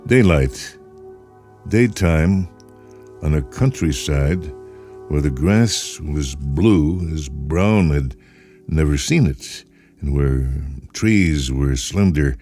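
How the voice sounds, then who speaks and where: Very deep-voiced, slow male story narrator. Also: animated, dark tone. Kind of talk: dramatic scripted narration of speculative fiction. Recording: music behind the voice.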